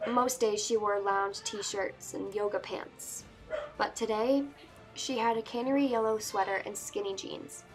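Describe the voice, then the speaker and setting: A woman's voice: medium pitch, steady tone, unhurried, music in the background, close to an everyday voice, poor audio. Woman reading as wry, anxious teenage girl. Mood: reflective. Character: sardonic, anxious teenage girl, fantasy princess-to-be, narrating. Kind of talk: first-person dramatic book reading.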